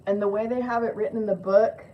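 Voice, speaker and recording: A woman's voice: mid-range voice, fairly even intonation, fast talker. A woman with a lisp giving a spiritual card reading on a live stream, moving between reading aloud and informal, rambling chat. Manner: informal, rambling asides with swearing. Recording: dull lo-fi audio with clear noise.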